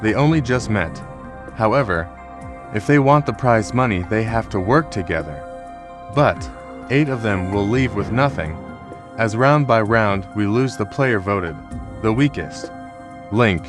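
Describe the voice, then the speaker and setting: A man's voice: lowish voice, expressive, measured pace, over music. A man hosting a scripted quiz show in a brusque, formal manner. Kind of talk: scripted game show presenting.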